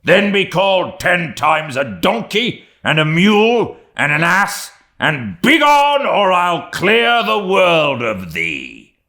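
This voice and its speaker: Wrathful, shouting old sea captain. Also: male voice, high-pitched, big pitch swings, slow pace, hardly any pauses, voice pushed up, full character voice. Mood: furious. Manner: commanding, explosive, archaic speech.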